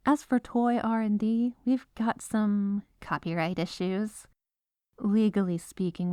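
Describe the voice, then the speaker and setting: A woman's voice: mid-range voice, warm tone, no echo, natural voice. A woman playing an exasperated, businesslike head lawyer at the North Pole in a scripted comedic audio roleplay. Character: exasperated, dutiful head legal advisor at Santa's North Pole.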